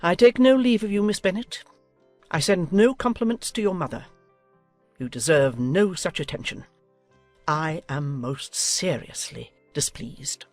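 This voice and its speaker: A woman's voice: on the low side, a little slow, darkish tone, dry recording, soft background music, close to an everyday voice. A woman playing a haughty, domineering older English aristocrat in a dramatized audiobook, with a pompous, commanding manner. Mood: furious.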